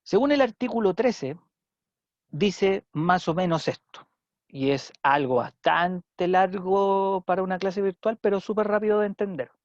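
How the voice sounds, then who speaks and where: A man's voice: high-pitched, a little slow, no room sound, lo-fi sound. A male teacher with a Chilean Spanish accent giving an online civics class, chatty and checking in often with his students. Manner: conversational, checks in with frequent "¿ya?".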